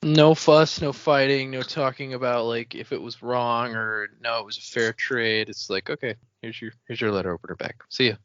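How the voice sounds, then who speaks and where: A man's voice: mid-range voice, steady tone, moderate pace, hardly any pauses, fairly bright tone, dry recording, lo-fi sound. A man co-hosting a podcast in casual, informal chat, acting out short imagined lines as he talks. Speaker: podcast co-host.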